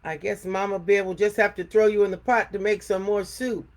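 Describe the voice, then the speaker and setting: A woman's voice: medium pitch, steady tone, close to an everyday voice. A woman voicing a big, grouchy father bear who growls and scolds sternly in a storybook read-aloud. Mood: gruff, scolding. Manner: growling, stern, drawn-out words.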